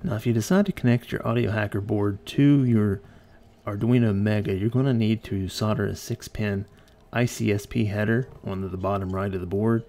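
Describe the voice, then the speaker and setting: A man's voice: lowish voice, moderate pace, mellow. A man giving a casual, explanatory electronics tutorial narration in an informal way. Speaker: host of an electronics tutorial channel.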